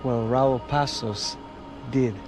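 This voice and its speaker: An actor playing a brisk, probing male ally in a video game, insistent and full of questions. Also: mid-range voice, fairly even intonation, over music, natural voice, poor audio.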